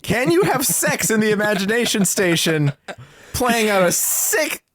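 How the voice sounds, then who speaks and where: A man's voice: sits high, very animated, unhurried, fairly bright tone. A man co-hosting a podcast with casual comedic commentary, sardonic and often mock-exasperated. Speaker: podcast co-host.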